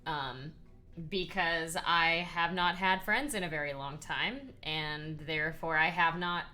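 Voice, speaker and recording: Hoarse female streamer, low-ish and bright, unhurried and rambly chat. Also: faint music behind. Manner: chatty and rambling, lots of ums, reads chat aloud. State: hoarse, voice partly lost. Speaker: streamer painting miniatures. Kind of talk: casual live-stream chat.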